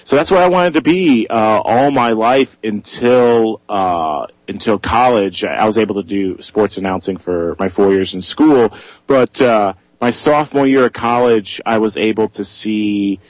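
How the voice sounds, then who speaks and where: Man, 20s, hoarse, eager guest, lots of "uh", low voice, dry recording. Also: a little slow, dry recording, poor audio. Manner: eager, many "uh" fillers. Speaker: guest, freelance journalist. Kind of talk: radio interview.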